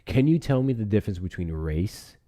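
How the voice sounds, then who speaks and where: A man's voice: lowish voice, lively intonation, brisk, warm tone, no echo, studio quality. A man hosting a live debate show, questioning a caller in a sarcastic, pressing way.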